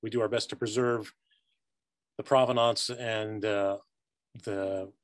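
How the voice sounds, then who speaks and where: A middle-aged man giving a measured, halting answer as an archivist in an online academic discussion. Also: on the low side, fairly even intonation, no echo. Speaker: archivist panelist. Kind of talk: academic discussion on a video call. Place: book-lined office, indoors. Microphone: video call, close to computer.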